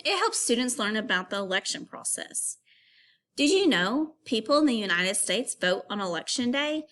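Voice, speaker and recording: Lively, higher-pitched woman teaching children. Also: normal speed. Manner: instructive, clearly phrased, addressing young pupils. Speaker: elementary school teacher. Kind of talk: read-aloud reading lesson for children.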